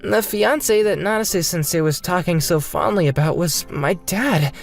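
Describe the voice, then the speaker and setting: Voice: medium pitch, moderate pace, gravelly, faint music behind. A narrator reading a scripted manga dub story in a dramatic storytelling style.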